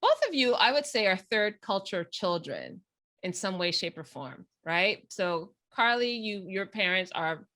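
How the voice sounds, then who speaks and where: Woman host, bright forceful tone, thinks aloud while questioning. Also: mid-range voice, lively intonation, a little slow. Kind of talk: interview.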